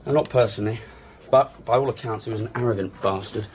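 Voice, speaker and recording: Actor as a gravelly, cynical journalist man. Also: fairly low pitch, fast talker, background noise, lightly acted. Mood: cynical, offhand. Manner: grudging, vague, blunt. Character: cynical, blunt male journalist witness, offhand. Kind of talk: naturalistic radio drama acting.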